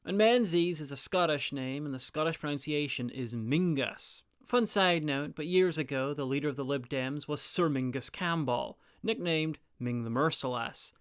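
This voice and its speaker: Clear, higher-pitched man reviewing. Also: normal speed, hardly any pauses, a bit bright. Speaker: reviewer presenting an audio drama guide. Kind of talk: scripted review narration with sweary asides.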